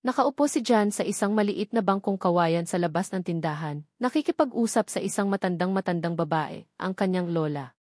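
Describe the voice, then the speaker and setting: A woman narrating a scripted romantic drama with emotive, deliberate phrasing. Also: lowish voice, expressive, darkish tone, no room sound, clean studio sound.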